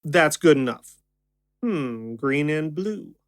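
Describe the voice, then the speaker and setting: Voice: mid-range voice, lively intonation, slow pace. An actor playing a friendly stage magician with an easy showman's patter in an audio drama. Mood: showy, playful. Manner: showman's patter addressed to an audience.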